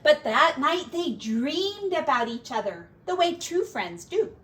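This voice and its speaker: A woman teacher reading a picture book to young children expressively, with gentle, simple asides, recorded on a device set back from her. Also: high voice, very animated, unhurried. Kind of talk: picture-book read-aloud with chatty asides. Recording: distant mic with faint background noise.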